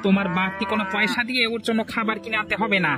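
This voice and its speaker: A man's voice: high-pitched, flat delivery, racing, music in the background, lightly acted. A man voicing a kind, stubborn poor village husband in a cartoon, earnest and protesting. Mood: indignant. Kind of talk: Bengali cartoon story dialogue.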